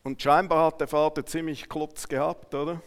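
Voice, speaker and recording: A man preaches to a congregation in a high voice with a Swiss German accent. His delivery is emphatic, moving between quiet asides and raised declarations. Long reverberation from the hall surrounds his words. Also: little pitch movement, moderate pace. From Switzerland. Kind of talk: sermon to a congregation.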